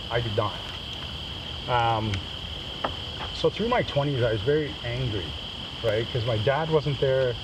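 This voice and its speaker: A man from Trinidad shares a personal testimony with a group in an informal, conversational manner, checking in with listeners as he goes. His voice sits at a medium pitch. It is picked up from a distance outdoors, under very loud insect and ambient noise. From Trinidad, moved to Canada as a child. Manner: conversational, often checks in with "right?" and "okay?". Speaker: speaker giving a personal testimony. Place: outdoors at a men's canoe trip gathering.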